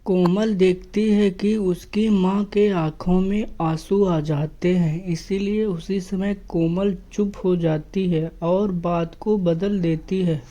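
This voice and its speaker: A woman's voice: on the low side, steady tone, takes it slowly, few pauses, warm tone, poor audio. A woman narrating a scripted family story as a storyteller.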